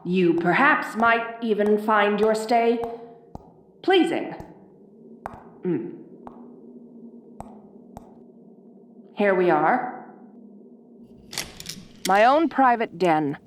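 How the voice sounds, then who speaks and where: A woman playing a haughty, bossy ice queen in an audio roleplay, speaking with imperious, deliberate phrasing. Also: mid-range voice, animated, a little hiss or hum. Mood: grudgingly hospitable. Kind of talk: audio roleplay addressed to the listener. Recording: roomy, echoing voice recording.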